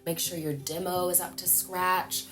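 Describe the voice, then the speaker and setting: A woman, giving a lively, chatty voice-over tutorial to camera from a small room at home. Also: lowish voice, unhurried, music in the background. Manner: lively, chatty, animated hand gestures. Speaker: voice-over coach.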